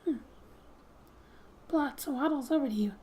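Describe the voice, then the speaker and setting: Soft breathy asmr girl gamer reading story text, woman w high gentle whispery voice, bit of hiss behind. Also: measured pace. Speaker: gaming ASMR host.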